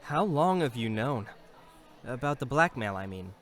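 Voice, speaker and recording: A man's voice: mid-range voice, big pitch swings, moderate pace, lightly acted. A man acting the part of a stubborn, proud younger brother in an audio drama, earnest and a little sheepish.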